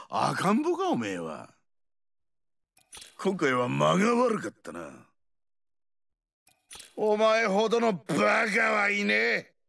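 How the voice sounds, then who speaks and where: An actor playing a brash, cocky ageing brawler in a video game, with rough, taunting talk and a gravelly voice. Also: male voice, sits high, pushed and bright, full character voice. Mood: scornful, irritated. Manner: rough, swaggering, taunting slang. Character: brash, rowdy, cocky ageing male explosives-loving brawler. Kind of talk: video game character voice lines.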